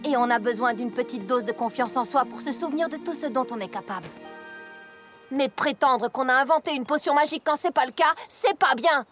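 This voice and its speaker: Woman playing a high-voiced, earnest young farm pony. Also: a little fast, music in the background, lightly acted. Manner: emphatic, sincere. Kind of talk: French-dubbed children's cartoon acting.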